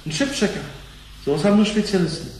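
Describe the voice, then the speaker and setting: A man's voice: high voice, lively intonation, fast, a little mellow. A man playing an earnest, placating bank boss, flustered yet in charge, in a radio play. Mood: tense, cautious. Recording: roomy echo, faint background noise.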